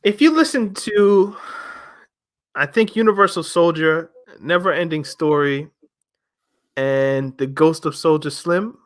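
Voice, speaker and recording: A young man hosting a casual album review, talking in an animated, emphatic way with rhetorical questions. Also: on the high side, slow pace. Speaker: music review host.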